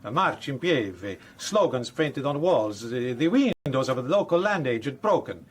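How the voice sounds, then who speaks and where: An actor playing an earnest, matter-of-fact Italian police officer in a radio drama, speaking deliberate, slightly stilted English. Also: male voice, medium pitch, expressive, a little hiss or hum, close to an everyday voice. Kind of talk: naturalistic radio drama acting.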